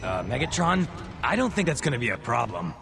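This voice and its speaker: An actor playing a panicky robot foot soldier in a video game, with an excitable, anxious, cheeky delivery. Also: male voice, medium pitch, fairly quick, gravelly, over music. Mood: nervous.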